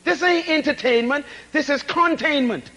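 High-voiced man preaching with emphatic repetition. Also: moderate pace. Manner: call-and-response, rhetorical questions, emphatic repetition; swings between shouted emphasis and low asides. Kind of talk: sermon.

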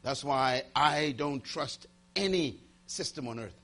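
A man preaching a motivational sermon to a live audience, firing rhetorical questions, repeating key lines and swinging from loud bursts to hushed asides. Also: mid-range voice, animated, slow. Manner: dramatic swings between loud and hushed, call-and-response questions; emphatic, repeating key phrases.

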